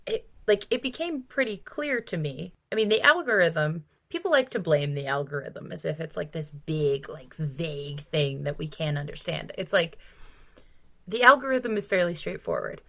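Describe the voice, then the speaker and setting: A woman's voice: deep voice, lively intonation, moderate pace, creaky, a bit bright. A woman co-hosting a casual book discussion podcast, talking in an informal, emphatic way peppered with 'like'. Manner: informal, emphatic, frequent 'like' and mild swearing. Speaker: podcast co-host.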